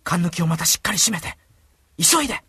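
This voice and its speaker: A man playing an excited, commanding disciple in a radio drama, speaking with urgency. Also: high voice, a little fast, noisy background. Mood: urgent. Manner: urgent, forceful. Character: excited, commanding male disciple Matthew, eager for news.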